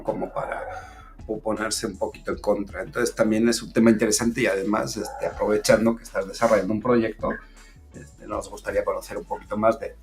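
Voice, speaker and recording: A man hosting an online interview show, speaking in a halting way with frequent fillers. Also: fairly low pitch. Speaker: host.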